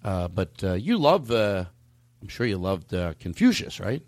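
A man's voice: deep voice, sing-song, unhurried, a little mellow, no echo. A man hosting a comedy podcast in casual, joking chat, swearing freely and teasing himself and others. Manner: profane, teasing, self-deprecating banter.

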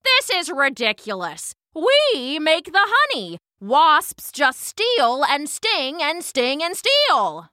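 Woman playing a proud, high-voiced queen bee. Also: wildly swooping pitch, a little slow, bright, forceful tone, no room sound, clean studio sound, exaggerated voice. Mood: indignant. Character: proud, indignant queen bee, regal and insistent.

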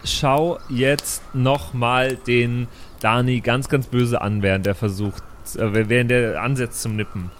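A man's voice: medium pitch, steady tone, unhurried, slight background noise. A man improvising in character as a player in a tabletop role-playing audio game.

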